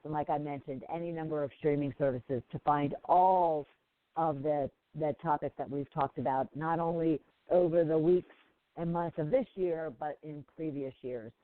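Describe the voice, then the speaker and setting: A woman's voice: low voice, dry recording. A woman hosting a call-in radio show while sick, talking loosely with many ums and restarts. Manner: rambling, with frequent ums and restarts. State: sick, slightly congested. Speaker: talk radio host. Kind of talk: casual call-in radio talk. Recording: tinny dry phone line.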